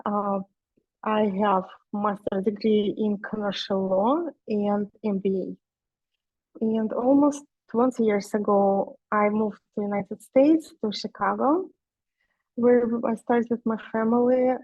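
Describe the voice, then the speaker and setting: A woman's voice: medium pitch, fairly even intonation, slow, no room sound. A woman from Russia with a Russian accent presents in a webinar, speaking English as a second language. She hesitates often and pads her sentences with fillers. The audio sounds dull and lo-fi, with a dry, close feel. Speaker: guest speaker.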